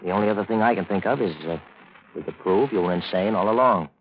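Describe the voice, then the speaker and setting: An actor playing a blunt, matter-of-fact defense lawyer in an old radio drama. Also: male voice, lowish voice, fast, a little hiss or hum, natural voice. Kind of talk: 1940s-50s radio drama acting. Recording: muffled vintage radio recording.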